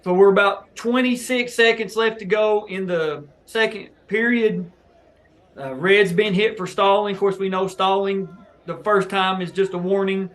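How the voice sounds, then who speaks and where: A man's voice: high-pitched, fairly even intonation, unhurried, a bit bright. A man hosting a match review, walking new wrestling officials through the footage with an explanatory, coaching tone. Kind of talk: instructional match breakdown for officials.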